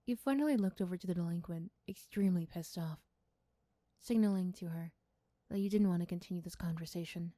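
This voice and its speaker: Soft, mellow woman narrating a story. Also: on the low side, a little fast, no room sound. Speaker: storyteller and voice performer. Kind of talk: ASMR roleplay scripted narration.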